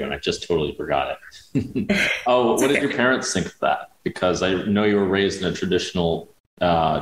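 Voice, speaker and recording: A man hosting an interview, talking loosely and haltingly with fillers and restarts. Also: low-pitched, echoey room, a little hiss or hum, rough recording. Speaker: podcast host. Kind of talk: casual interview conversation.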